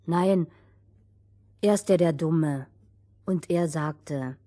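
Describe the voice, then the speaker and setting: A woman's voice: low-pitched, extremely slow, warm tone, heavily acted. A woman narrating a children's fairy tale as a cheeky, interjecting second storyteller.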